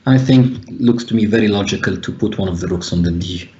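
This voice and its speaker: A man's voice: fairly low pitch, brisk, darkish tone, highs cut off, a little hiss or hum. Hesitant man commentating chess. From Egypt. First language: Arabic. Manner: thinking aloud, frequent hesitations. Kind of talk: live online chess commentary.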